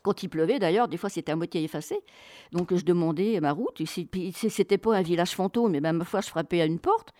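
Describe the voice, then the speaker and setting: Female interview guest, slightly low voice, dry close sound. Colloquial, expressive storyteller who acts out dialogue. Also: brisk, no echo. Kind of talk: animated travel storytelling.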